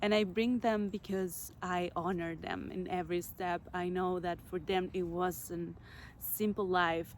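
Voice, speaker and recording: A woman from Chile hosting a podcast, telling her personal story slowly and reflectively, pausing to search for words. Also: on the low side, a little hiss or hum. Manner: slow, reflective, searching for words with soft pauses. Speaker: podcast host.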